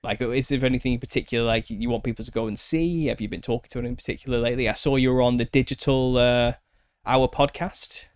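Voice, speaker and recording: A man's voice: mid-range voice, fairly even intonation, fast, no room sound, clean studio sound. A man with a Lancashire accent from northwest England chats casually as a podcast host, rambling and self-deprecating. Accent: Northern English, Lancashire. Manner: chatty, rambling, self-deprecating, laughs often. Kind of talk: casual chat.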